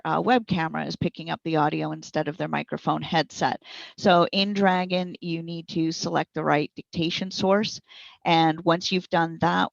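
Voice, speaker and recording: A middle-aged woman with a lowish, creaky voice explains things in an encouraging, instructional way. The sound is dry and slightly muffled. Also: no echo. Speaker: guest software trainer. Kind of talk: conversational instructional interview answers.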